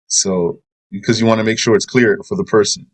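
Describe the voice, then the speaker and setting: A man's voice: on the low side, steady tone, fairly quick, slightly rough. A man giving a religious lesson, reading a translated passage aloud and explaining it, with Arabic terms mixed in. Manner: explanatory, reads a passage then comments, mixes in Arabic terms. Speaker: religious teacher.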